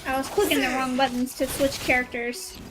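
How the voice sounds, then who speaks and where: A woman game streamer with a high voice chats casually as she plays. Her remarks are playful and slangy, and game music runs clearly behind her. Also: level tone, a little fast, low-quality recording. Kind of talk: casual live gameplay commentary.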